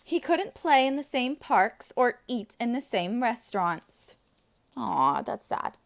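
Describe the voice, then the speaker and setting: A woman's voice: a little high, sing-song, slow pace, vocal fry. A woman preschool teacher reading aloud to young children, enthusiastic, with friendly asides to her little listeners.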